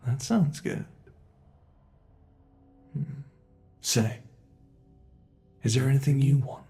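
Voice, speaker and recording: A man voicing a sadistic male monster in an ASMR horror roleplay, talking in a slow, taunting way that relishes cruelty. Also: medium pitch, warm tone. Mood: playful, sadistic.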